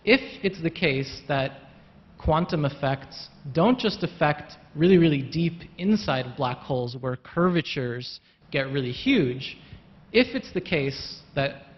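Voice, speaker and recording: A man on a lecture hall panel talks through a science question, hedging and restarting as he explains. His voice sits a bit high. The recording is dull and muffled, with a long hall reverb around him. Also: animated, measured pace. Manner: explanatory, hedging with "kind of" and repeated words. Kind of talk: panel discussion answer.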